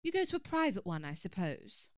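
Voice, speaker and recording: A woman's voice: mid-range voice, sing-song, fairly quick, close to an everyday voice. An actress playing a haughty, condescending young woman in an audio drama. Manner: condescending, prim.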